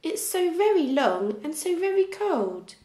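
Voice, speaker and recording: A forlorn storybook animal pleads for help, played by a woman. The voice is high and very animated in pitch, in a roomy echo. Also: a little slow, full character voice. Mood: sad, pleading. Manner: plaintive, pleading. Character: sad, plaintive animals needing help. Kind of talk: children's storybook read-aloud.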